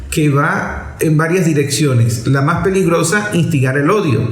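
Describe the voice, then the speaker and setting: A Venezuelan man hosting a program, explaining political commentary in a didactic way with emphatic pauses. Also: fairly high pitch, a little mellow. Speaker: radio program host. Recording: echoey room sound.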